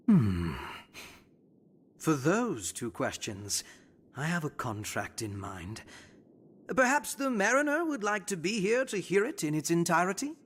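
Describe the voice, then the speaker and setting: An actor voicing a sly, glib broker for an assassins' guild in a video game, teasing and theatrical. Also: male voice, fairly high pitch, big pitch swings, unhurried, a bit bright, husky, full character voice. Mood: mocking, commanding. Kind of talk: dramatic video game voice acting.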